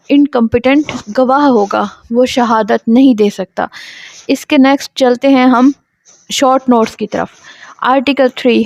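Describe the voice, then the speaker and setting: A woman's voice: fairly high pitch, a little mellow, dry recording. A woman with a Pakistani accent giving an explanatory law lecture, didactic, often asking and answering her own questions and mixing in English legal terms. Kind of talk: explanatory lecture, reading out statutes and glossing them.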